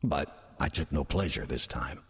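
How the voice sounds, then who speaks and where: An actor playing a weary, sardonic demon posing as a church deacon in an audio drama, dry, urbane and condescending. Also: male voice, animated, a little slow, no room sound, heavily acted. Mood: wry, confiding. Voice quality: husky, low voice. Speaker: narrator and lead character of audio drama. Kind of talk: audio horror-comedy drama acting.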